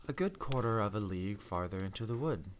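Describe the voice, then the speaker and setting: A man reading as an innocent, soft-spoken little girl. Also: fairly low pitch, expressive, brisk, slight background noise, close to an everyday voice. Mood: polite, trusting. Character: innocent, trusting, polite little storybook girl.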